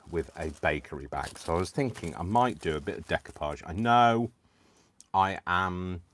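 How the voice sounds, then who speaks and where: A man's voice: low voice, slight background noise. A British man hosting a live crafting stream, rambling casually to viewers with lots of ums, small laughs and self-deprecating asides. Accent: British English. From United Kingdom. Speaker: crafting livestream host.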